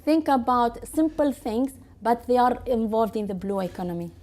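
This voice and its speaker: A woman from Tunisia answering interview questions in quick, halting full of restarts and fillers. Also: fairly high pitch, darkish tone. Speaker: guest.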